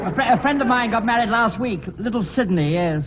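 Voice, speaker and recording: A man's voice: extremely high pitch, expressive, fairly quick, a loud crowd, very noisy. An actor playing a gag-telling male panelist in an old-time radio comedy. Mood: jokey. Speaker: comic panelist. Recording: muffled old radio recording.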